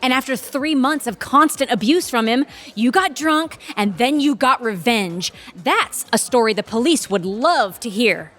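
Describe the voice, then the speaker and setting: A woman's voice: a little high, animated, measured pace, few pauses, a bit bright. A woman playing a blunt, weary law firm associate in an audio drama. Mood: accusing.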